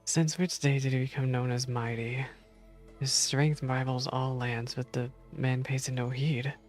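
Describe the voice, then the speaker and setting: A man's voice: mid-range voice, flat delivery, measured pace, soft background music. A man streaming a game, reading story text aloud and reacting casually as he goes.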